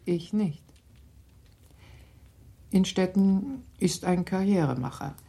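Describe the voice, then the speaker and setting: A low, gravelly-voiced worried mother. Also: mellow, exaggerated voice. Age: middle-aged. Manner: measured, confiding, drops to a whisper. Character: worried aristocratic mother, middle-aged, shrewd. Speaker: mother in a radio play. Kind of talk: 1960s German radio drama acting.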